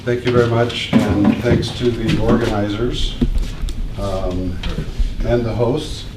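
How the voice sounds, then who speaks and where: A man from the Midwest giving a prepared speech as an invited speaker, measured and pausing often. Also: on the low side, fairly even intonation, very noisy. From American Midwest. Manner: measured, reads from prepared remarks with pauses. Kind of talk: prepared speech at a public event. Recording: echoey room, mic at a distance.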